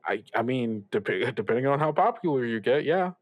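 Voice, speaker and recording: Radio mentor, male, acted: conversational, rambling, kindly but evasive, average pitch, very little room sound. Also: expressive, no echo, lightly acted. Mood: halting reassurance. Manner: halting, rambling, stammering, gently advising. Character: reassuring older male radio mentor, upbeat, evasive about troubles. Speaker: student radio show host. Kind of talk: improvised actual-play audio drama, conversational.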